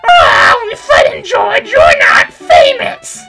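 A woman voicing a small, cheerful but blunt cartoon mushroom townsperson, with a shouty, exclaiming delivery. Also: extremely high pitch, huge pitch swings, slow, gravelly, soft background music, voice pushed up, exaggerated voice. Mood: dismissive.

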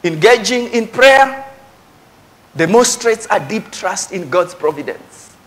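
A man's voice: high voice, lively intonation, takes it slowly, fairly bright tone. A middle-aged man with a Kenyan accent preaching a church sermon, emphatically repeating key phrases, on a handheld microphone. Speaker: pastor. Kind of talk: animated church sermon with Swahili asides. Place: church stage before a congregation.

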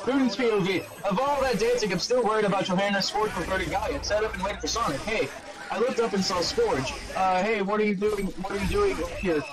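A man doing a mocking dramatic reading of fan fiction, halting and stumbling over words, with casual sweary asides. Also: sits high, over a noisy crowd, low-quality recording.

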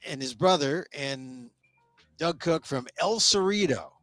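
A man's voice: on the high side, lively intonation, a little slow, fairly bright tone, no room sound, faint music behind. An American man in his sixties hosting a casual, opinionated chat on a headset mic, rambling and restarting his thoughts. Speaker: talk show host. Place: small indoor room, online talk show.

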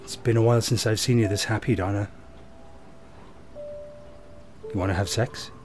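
A man's voice: fairly low pitch, fairly even intonation, fast, over music, natural voice. A man playing a caring, cautious husband among survivors in a comic dub. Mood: affectionate. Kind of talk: amateur comic-dub voice acting.

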